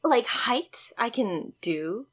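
A woman in her twenties co-hosting a casual chat, telling animated dating stories with lots of giggling. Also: a little high, takes it slowly, breathy edge. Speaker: podcast co-host.

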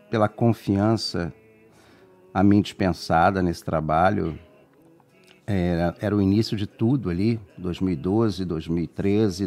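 A man's voice: deep voice, warm tone. A man hosting a live radio program, talking in an effusive, rambling way full of restarts. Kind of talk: warm live radio presenting.

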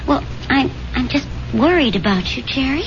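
A woman's voice: high-pitched, lively intonation, normal speed, noisy background. An American woman acting a caring, playfully bossy wife, coaxing and affectionate, in a 1970s radio drama. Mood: concerned. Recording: dull radio recording with music behind.

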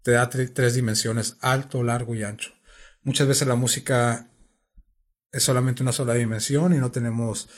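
A man's voice: medium pitch, steady tone, moderate pace. A man, a guest sound engineer in a music studio, patiently explaining synthesizers and sound, repeating and restarting words as he thinks.

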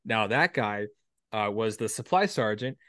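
Guy in his 30s chatting about writing, kinda halting and thoughtful, swears some, very dry sound. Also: fairly low pitch, expressive, pushed and bright, no room sound. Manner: halting, reflective, salty language. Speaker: writer and performer, military veteran. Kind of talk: casual creative discussion.

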